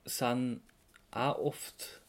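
A man with an Austrian accent explaining things in a casual podcast chat as a host, often halting and searching for words. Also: mid-range voice, steady tone.

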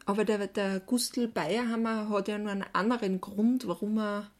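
A woman co-hosting a podcast, chatting casually in an expressive, exclamatory way. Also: medium pitch, moderate pace. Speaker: podcast co-host. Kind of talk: casual chat.

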